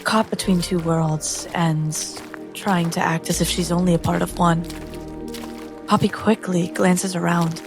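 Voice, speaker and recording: A woman's voice: lowish voice, fairly even intonation, measured pace, creaky, music in the background. A woman playing a tabletop game, describing her character's actions in a storytelling style.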